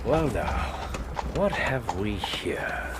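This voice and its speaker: An actor playing a brilliant, impatient British detective in a radio drama, clipped, precise and faintly superior. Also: male voice, on the low side, sing-song, drawn-out words, heavy background noise, heavily acted. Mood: brisk, observant. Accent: British English. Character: brilliant, impatient male detective, incisive and commanding.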